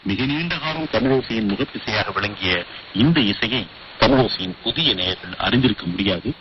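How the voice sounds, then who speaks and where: Male radio presenter, low register, scripted documentary read, dull hissy shortwave sound. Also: lively intonation, normal speed.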